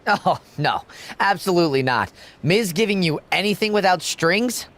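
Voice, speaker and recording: A man's voice: high-pitched, lively intonation, moderate pace, fairly bright tone. A man playing a smarmy, sarcastic wrestling management lackey in a video game, with smug theatrical delivery. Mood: mocking. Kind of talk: scripted video game cutscene acting.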